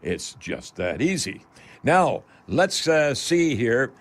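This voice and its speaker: A man's voice: mid-range voice, big pitch swings, takes it slowly. A man hosting a radio call-in show in a folksy, rambling way, addressing listeners as friends. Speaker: host of a home improvement radio call-in show.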